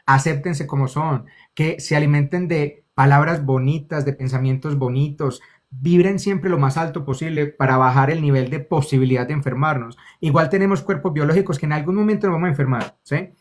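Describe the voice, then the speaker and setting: A man's voice: fairly high pitch, fairly quick. A man with a Colombian accent, a spiritual guide explaining ideas in conversation and often checking in with "ok?" and calling the listener lovely. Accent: Colombian Spanish. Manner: affectionate, keeps checking in with "ok?". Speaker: spiritual guide, interview guest.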